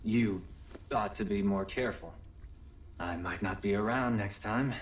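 An actor playing a weary, sardonic alternate self of a young hero in a video game, laconic and wry. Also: male voice, lowish voice, unhurried, distant mic, natural voice. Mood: dry, wry. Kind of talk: video game cutscene dialogue.

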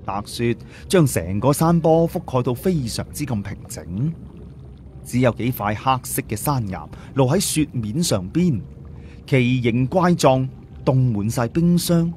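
Male audiobook narrator, dramatic and animated delivery, faint background noise. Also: medium pitch, unhurried. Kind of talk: dramatic scripted story narration.

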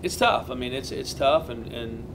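Brisk male coach, plain talk with restarts, mid-pitched voice over press room hum. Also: a bit bright. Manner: plain-spoken, many restarts and fillers. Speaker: college baseball head coach. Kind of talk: postgame press conference answers. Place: press conference room in front of a backdrop. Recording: noisy press room with rumble.

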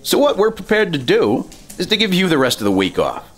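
Stern FBI boss, lively intonation. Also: male voice, high-pitched, fairly quick. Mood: condescending. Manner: authoritative. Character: stern, bossy male FBI assistant director. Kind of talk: 1950s radio drama acting.

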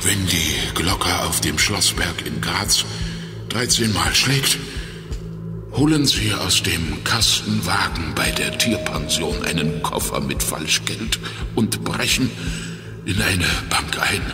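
Man acting a very deep, wounded ex-crook detective. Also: expressive. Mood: grave explaining. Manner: halting, strained confession with frequent pauses. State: weak and exhausted from injury. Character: wounded ex-crook turned private detective, weary but determined. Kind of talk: German children's audio drama. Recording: echoey reverberant hall, music underneath.

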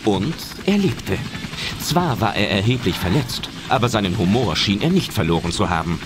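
A man's voice: fairly low pitch, animated, normal speed, noisy background. A man reading scripted narration for an adventure audio drama. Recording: loud music under the voice. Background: loud film-style music and effects.

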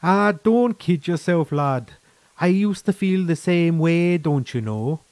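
A man's voice: fairly high pitch, expressive, takes it slowly, darkish tone, dry recording, voice pushed up. A man voicing a brash, defensive former soldier in a story, with a folksy, teasing manner. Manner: folksy, teasing, calls listener lad and boyo. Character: brash, defensive male ex-guardsman turned defector, cheerfully chiding. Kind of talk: short story character reading.

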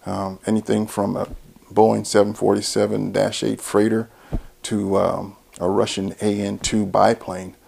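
Man, American accent, middle-aged, very creaky voice, fairly flat intonation, interview talk. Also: fairly low pitch, a little slow. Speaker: air traffic controller interviewee. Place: small indoor office. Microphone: clip-on lapel mic.

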